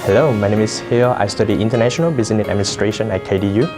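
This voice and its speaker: A young man with a lowish voice gives a prepared student testimonial. Plucked guitar music plays clearly behind him. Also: rapid-fire. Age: young adult. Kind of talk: scripted self-introduction.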